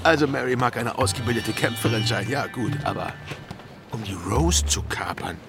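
A man's voice: mid-range voice, lively intonation, normal speed, vocal fry. A man playing a laid-back, skeptical pirate captain in a German audio drama, with a drawling, hesitant way of talking. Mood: doubtful, grumbling. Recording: music under the voice.

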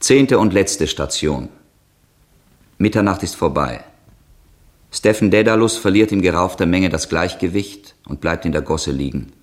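An actor playing a solemn announcer who introduces scenes in a scripted radio play. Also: male voice, deep voice, animated, a little slow. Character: solemn male announcer of dramatic stations.

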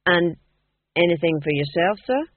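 A courteous, businesslike street thief, voiced by an audiobook narrator, offering menace as polite sales patter. His mid-pitched voice moves in lively swings in a dry storybook recording. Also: moderate pace, no echo, narrow, tinny audio, lightly acted. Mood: courteous, salesmanlike.

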